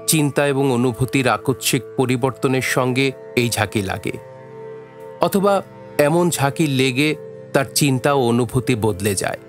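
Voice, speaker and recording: A man narrating a literary story for an audio drama in a measured, dramatic way. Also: mid-range voice. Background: faint music.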